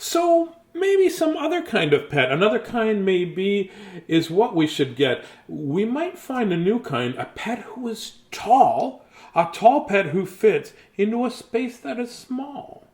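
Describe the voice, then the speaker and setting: A man's voice: high voice, very animated, slow pace, a little mellow. A man reading a children's bedtime story aloud expressively, with playful, theatrical delivery and chatty, casual asides.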